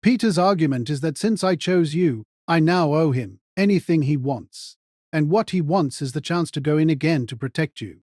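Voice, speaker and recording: An actor plays a jaded older man, a mentor who drinks hard. His voice sits a little high for a man and his delivery is blunt, needling and sardonic. It is audio drama dialogue, recorded very dry. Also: expressive, moderate pace, darkish tone, no room sound. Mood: weary, reproachful.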